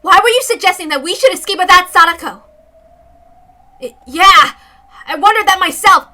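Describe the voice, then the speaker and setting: A woman's voice: very high voice, animated, normal speed, bright, forceful tone, pitched above the performer's own voice, heavily acted. A woman voicing an anxious, earnest young protagonist of a story, urgently pressing a friend with questions. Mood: worried insistence.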